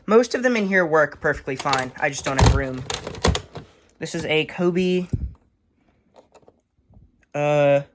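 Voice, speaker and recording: A young man giving a casual, unscripted show-and-tell, halting and matter-of-fact as he lists items. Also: a little high, animated. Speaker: hobbyist collector giving a tour. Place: indoors in a room, handling plastic bins. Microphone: handheld phone camera. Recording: noisy handheld recording with clattering.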